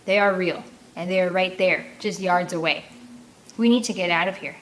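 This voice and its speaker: A woman reading an audiobook aloud as host, with expressive narration and casual delivery. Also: on the low side, normal speed, slight background noise, rough recording.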